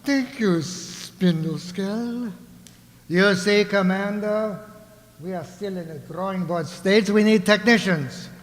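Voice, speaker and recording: High-voiced, pompous onion tyrant. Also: male voice, takes it slowly. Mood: pompous, persuasive. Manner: pompous, mock-genteel menace. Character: scheming old onion ruler, smooth, courteous tyrant. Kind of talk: theatrical radio drama acting. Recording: echoey hall with long reverb.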